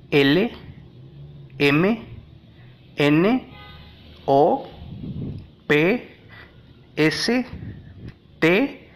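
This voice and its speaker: A man from Mexico whose first language is Nahuatl, reading a language lesson in a measured, deliberate way. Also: high-pitched, fairly bright tone. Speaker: language teacher.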